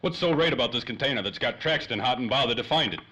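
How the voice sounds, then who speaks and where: Man playing a sharp, brash hardboiled detective. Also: mid-range voice, expressive, quick pace. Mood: brash, impatient. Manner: brash, slangy. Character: tough, wisecracking male hardboiled private detective. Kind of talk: 1930s radio play acting.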